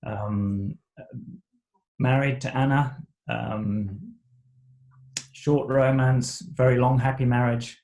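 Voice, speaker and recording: Middle-aged Zimbabwe-born man, Shona and English from childhood, gravelly interview guest, slow and halting with many fillers. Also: medium pitch, fairly even intonation, a little mellow, a little hiss or hum, poor audio. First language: Shona alongside English. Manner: hesitant, many fillers and restarts. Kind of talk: interview storytelling.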